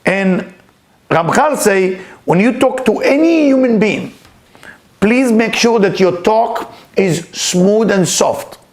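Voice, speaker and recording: A man's voice: high-pitched, expressive, slow. A man, a Hebrew speaker, giving an earnest, emphatic weekly spiritual talk. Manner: earnest, emphatic, repeats key words. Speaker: spiritual teacher.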